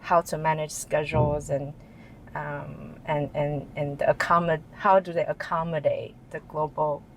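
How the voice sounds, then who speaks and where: A middle-aged woman from Taiwan, answering interview questions in a relaxed way, often restarting sentences and joking. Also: low voice, measured pace, a little hiss or hum, poor audio. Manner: hesitant, restarts often, jokes and laughs. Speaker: guest, tech executive. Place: office in Shanghai.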